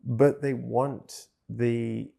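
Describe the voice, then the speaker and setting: A man giving measured technical explanations in an interview, often pausing mid-sentence as he goes. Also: mid-range voice, level tone, creaky, warm tone. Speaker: audio company chief executive interviewee.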